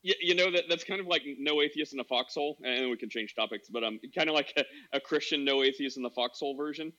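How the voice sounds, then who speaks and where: A man hosting a casual call-in discussion, relaxed and jokey with loose phrasing. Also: medium pitch, fast. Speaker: host. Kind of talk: casual chat. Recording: dull lo-fi audio.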